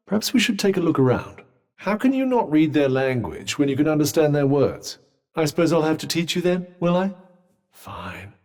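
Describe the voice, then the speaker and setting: A man's voice: a little high, a little mellow, long reverb tail, natural voice. A British man voicing a witty, haughty magical talking vambrace in a video game, with pompous, droll and sardonic delivery. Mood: musing, explaining, then sarcastic, peeved. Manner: pompous, droll, erudite wording. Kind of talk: video game character dialogue.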